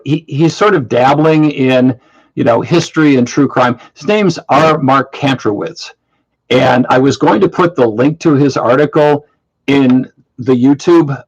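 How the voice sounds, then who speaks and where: Blunt man in his seventies, husky. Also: mid-range voice. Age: 70s. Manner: blunt, dismissive, repeats himself. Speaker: host. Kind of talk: casual monologue to an online audience. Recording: muffled lo-fi audio.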